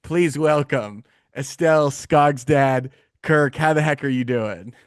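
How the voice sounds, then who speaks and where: A man's voice: medium pitch, slow pace, smooth, no room sound. Casual male podcast host, dry close sound. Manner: informal, joking, slangy. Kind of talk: casual interview chat.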